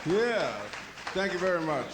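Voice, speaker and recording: A man works as emcee at a live concert, announcing the next tune in a slow, drawn-out way with a lively, swooping pitch. A crowd is clearly heard behind him, and the recording carries clear noise. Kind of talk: stage announcing. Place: prison hall concert.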